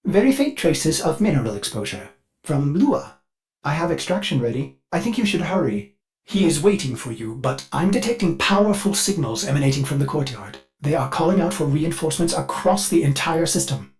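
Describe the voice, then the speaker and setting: A man's voice: a little high, sing-song, brisk, a little mellow. A man voicing a devoted, anxious ship AI in a video game, speaking in a formal, theatrical and solicitous way. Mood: earnest. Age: older. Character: fussy, devoted ship AI, eager, anxious, glitchy servant. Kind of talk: scripted video game voice acting.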